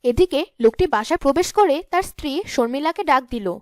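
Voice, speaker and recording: A woman's voice: high-pitched, animated, fairly quick, no room sound, clean studio sound. A woman narrating a scripted cartoon story as the storyteller.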